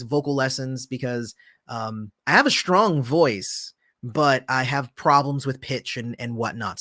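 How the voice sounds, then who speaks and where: A man hosting a casual livestream, answering viewer comments in a relaxed, rambling way with lots of fillers, often trailing off softly. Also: medium pitch, dry recording, studio quality. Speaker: channel host.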